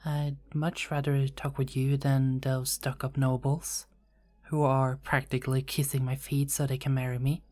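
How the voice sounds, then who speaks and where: A woman playing a caring, dutiful young queen in an ASMR roleplay, speaking formally and softly with small hesitant stammers. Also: rumbling low, flat delivery, normal speed. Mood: calm, resentful. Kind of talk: ASMR roleplay, scripted character acting.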